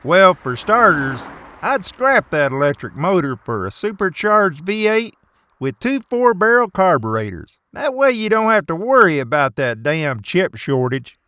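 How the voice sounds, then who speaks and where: A man playing a blunt, boastful, folksy car enthusiast in an animated comedy. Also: high voice, measured pace, no echo, exaggerated voice. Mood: scornful boasting. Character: opinionated, folksy American muscle-car enthusiast.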